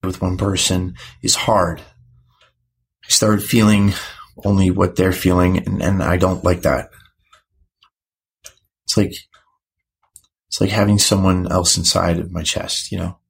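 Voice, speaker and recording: A young man playing an uneasy teenage high school boy in an audio drama, halting and stammering as he searches for words. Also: level tone, vocal fry, breathy edge, heavily acted. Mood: uneasy, confiding. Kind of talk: naturalistic audio drama acting.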